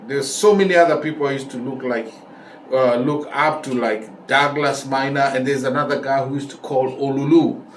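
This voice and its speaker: A middle-aged man with a Kenyan accent telling stories casually as a vlog host, repeating phrases for emphasis. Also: medium pitch. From Kenya, raised in Nairobi. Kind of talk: casual talk to camera, storytelling. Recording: roomy echo, distant mic, faint noise.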